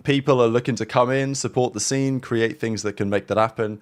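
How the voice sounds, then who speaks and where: A man's voice: mid-range voice, fairly even intonation, fairly quick. A man hosting a casual esports podcast, upbeat and presenter-like, running through sponsor and event plugs. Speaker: host.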